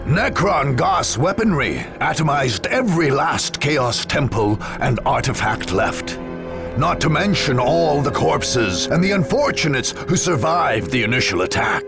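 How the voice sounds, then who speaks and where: An actor playing a grave war chronicler, giving dramatic scripted narration for a video game. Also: male voice, medium pitch, sing-song, unhurried, creaky, music in the background.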